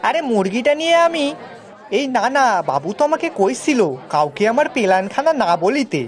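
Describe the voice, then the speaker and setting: A woman's voice: a little high, big pitch swings, moderate pace, some chatter behind. A woman playing a naive, eager young male village servant in a cartoon, with dialect and a deferential manner. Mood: scheming, excited. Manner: deferential, rustic dialect turns of phrase. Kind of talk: cartoon voice acting.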